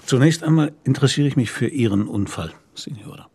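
A middle-aged police commissioner in a radio drama. His voice is husky and gravelly, mid-pitched, and he questions people with measured, considerate politeness. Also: darkish tone, no room sound. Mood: gentle, careful. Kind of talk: radio play acting.

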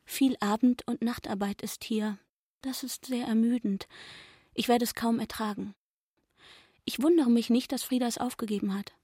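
An actress playing a young barmaid in a radio play, frank and gossipy, talking in a chatty, confiding way. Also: female voice, a little high, steady tone, moderate pace, mellow, breathy edge. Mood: weary, complaining.

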